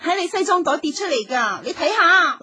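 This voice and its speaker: Jealous, high-pitched Cantonese wife. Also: female voice, big pitch swings, moderate pace, bright, forceful tone, no room sound, heavily acted. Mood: suspicious. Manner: scolding, dramatic, insistent. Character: jealous young wife, suspicious, demanding and tearful. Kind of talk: Cantonese radio drama acting.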